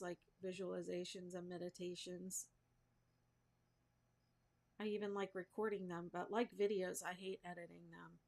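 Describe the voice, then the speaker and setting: A woman's voice: lowish voice, creaky, darkish tone. A woman chatting casually and informally, thinking aloud in a self-deprecating way as she hosts a crafting livestream. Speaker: crochet livestream host. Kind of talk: casual rambling chat with viewers while crafting.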